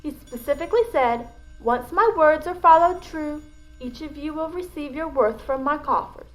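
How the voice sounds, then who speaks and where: A woman acting a poised, high-voiced lady. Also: moderate pace, close to an everyday voice, rough recording. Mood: composed. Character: poised, cool woman guiding relatives through a will reading. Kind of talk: audio drama acting.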